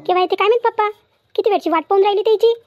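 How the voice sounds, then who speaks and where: A woman's voice: extremely high pitch, rapid-fire, highs cut off, faint music behind. A woman voicing a bossy, fussing village auntie in a cartoon comedy, grumbling and chatty, with a Varhadi Marathi accent. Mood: impatient grumbling. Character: bossy, fussing village auntie, caring about her workers.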